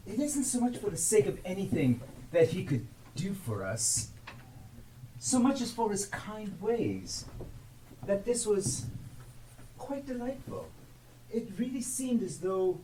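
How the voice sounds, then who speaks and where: An actor playing a tender, earnest, grieving family father on stage, with an emotional, drawn-out delivery. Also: high-pitched, dark tone, far from the mic, exaggerated voice. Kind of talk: theatrical live stage acting. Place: theatre stage. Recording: echoey, noisy live stage recording from afar.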